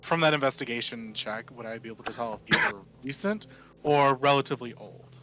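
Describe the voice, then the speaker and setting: Male game player, medium pitch, casual and tentative, working through his character's moves aloud. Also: animated, fairly quick, pushed and bright, a little hiss or hum, rough recording. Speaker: player in a tabletop role-playing game. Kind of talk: casual game talk, describing a character's actions.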